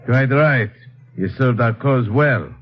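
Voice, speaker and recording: An actor playing a cool, disdainful foreign pilot henchman, formal and deliberate, in an old radio drama. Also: medium pitch, close to an everyday voice. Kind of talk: 1950s radio adventure acting. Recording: dull old radio recording, faint noise.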